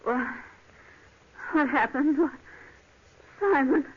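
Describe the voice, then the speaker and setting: An actress playing a frightened, wounded woman in a 1950s radio thriller, stammering and shaken. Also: high voice. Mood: shocked. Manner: halting, stammering. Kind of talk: 1950s radio drama acting. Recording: dull, hissy old radio broadcast.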